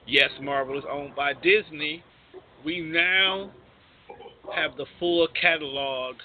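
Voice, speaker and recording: A man hosting a casual radio show, explaining things in slangy, emphatic phrasing. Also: on the high side. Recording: tinny phone-like line, faint noise.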